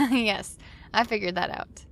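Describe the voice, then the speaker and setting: A woman's voice: medium pitch, slow, creaky, fairly bright tone. A woman playing a grateful, awestruck young newcomer to a futuristic city in an audio drama. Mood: amused.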